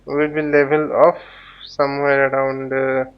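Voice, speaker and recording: A man giving an informal flight simulator tutorial, explaining haltingly as he thinks aloud. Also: mid-range voice, completely flat delivery, clear, resonant tone, muffled recording. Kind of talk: informal tutorial commentary while flying a simulator.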